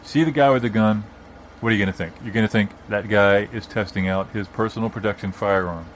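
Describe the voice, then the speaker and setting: Man acting bossy opinionated rambler, halting and repetitive, improvised comedy, slight background noise. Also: on the low side, natural voice. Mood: earnest, rambling. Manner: bossy, long-winded, argumentative. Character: stubborn rambling opinionated man arguing against amateur sleuths. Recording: background noise, informal non-studio room.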